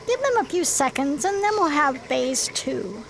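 A woman's voice: high-pitched, sing-song, measured pace, gravelly, slight background noise, full character voice. A woman playing a breezy, confident linguist on an alien world in a science fiction radio drama.

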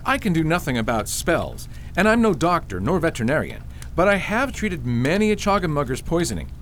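A man's voice: on the high side, big pitch swings, a little fast, a bit bright, slight background noise. A man playing a plain-spoken old father in a fantasy radio drama, advising in a matter-of-fact, emphatic way. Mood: gruff concern.

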